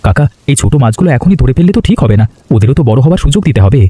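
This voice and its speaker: A man's voice: mid-range voice, sing-song, rapid-fire, mellow, breathy edge, dry recording. A man playing an earnest, polite village family man in cartoon dialogue. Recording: music behind the voice.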